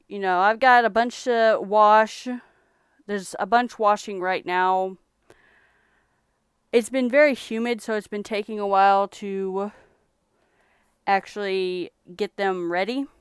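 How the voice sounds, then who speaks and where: A young woman from Texas talks in a relaxed, rambling way while showing off her thrift finds. Her voice is strikingly clear and smooth. It is recorded close up, with a dry sound. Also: medium pitch, no echo. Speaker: streamer reselling thrift finds. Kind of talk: casual live-stream chat.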